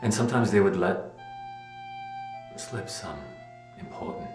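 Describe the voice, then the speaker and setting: An actor playing a shaken, grieving gentleman lover in an audio drama, with a halting, emotional delivery. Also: fairly low pitch, echoey room, over music, lightly acted. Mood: grieving, confessional. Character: Parker Raleigh, grieving secret lover, shaken career man.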